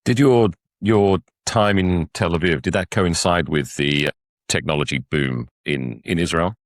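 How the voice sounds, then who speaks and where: Very deep-voiced male interviewer. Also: no room sound, clean studio sound. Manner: measured, slightly hesitant questions. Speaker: podcast host. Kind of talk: interview questions.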